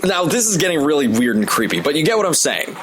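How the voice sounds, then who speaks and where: A man's voice: on the high side, sing-song, quick pace, background noise. A man narrating a comedic video essay in a sarcastic, profane, rambling way, full of asides. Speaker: online video commentator. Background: background music.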